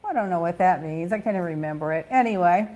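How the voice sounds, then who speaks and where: A middle-aged woman hosting a talk show, chatting casually about gossip and news with blunt opinions and quick asides. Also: lowish voice, dark tone.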